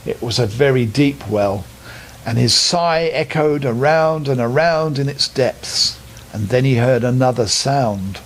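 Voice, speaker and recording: An older man narrating a children's bedtime story expressively, as a storyteller. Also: fairly high pitch.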